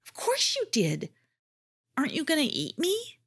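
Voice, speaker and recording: Woman doing a squeaky frightened little creature. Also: wildly swooping pitch, unhurried, noisy background, heavily acted. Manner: high, squeaky, pleading. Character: small frightened creature lost far from home.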